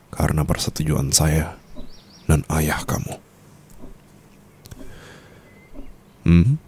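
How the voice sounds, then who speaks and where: Man voicing a cool mafia boss husband, extremely low pitch, slow drawl, teasing and smug, intimate ASMR roleplay. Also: creaky, a little mellow, slightly rough, full character voice. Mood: cool, warning. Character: calculating, teasing young male mafia boss husband, coolly dominant.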